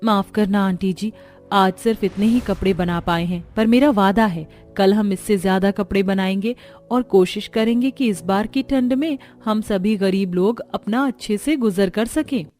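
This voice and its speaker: A woman's voice: mid-range voice, moderate pace, darkish tone, faint music behind, lightly acted. A woman voicing earnest, caring women of a poor village family in a cartoon story. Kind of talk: Hindi cartoon story dialogue.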